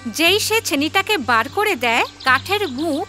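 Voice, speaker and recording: A woman's voice: high voice, lively intonation, a little slow, sharp tone, faint music behind. A woman narrating a scripted children's story as the storyteller.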